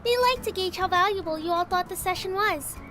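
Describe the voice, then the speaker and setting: A woman's voice: very high voice, fairly quick, bright, forceful tone. A woman voicing a sweet, modest, helpful teenage girl in a visual novel game. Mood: earnest, helpful. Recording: music playing behind the voice.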